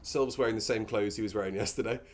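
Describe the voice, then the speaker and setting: A man's voice: on the low side, steady tone, fast talker. A man chatting casually as he co-hosts a film commentary, with a dry, mocking manner. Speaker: commentary co-host.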